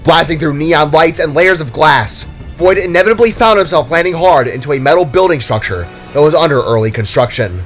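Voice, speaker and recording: A man's voice: on the high side, lively intonation, brisk, hardly any pauses. A man reading scripted narration for an action story in a dramatic storyteller's style. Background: music.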